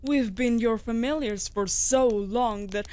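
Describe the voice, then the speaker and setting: A woman's voice: fairly high pitch, a little slow, warm tone, natural voice. A woman playing a mage's devoted wolf familiar in a fantasy audio drama, formal, firm and reassuring. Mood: firm reassurance. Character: female wolf familiar, protective, devoted and earnest. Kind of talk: scripted fantasy audio roleplay.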